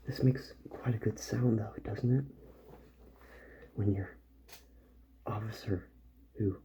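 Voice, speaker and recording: Male ASMR roleplayer, very mellow and low, soft and unhurried, gravelly edge, half whispering. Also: fairly even intonation, slight background noise. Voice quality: soft, breathy, partly whispered. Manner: slow, gentle, unhurried. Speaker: ASMR performer playing a robot repair doctor.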